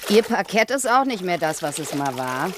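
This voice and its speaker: A cleaning woman in a radio drama. She is brisk, blowsy and offhand, reeling off her routine in a breezy singsong. There is slight noise and clicking on the recording. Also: fairly low pitch, big pitch swings. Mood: matter-of-fact.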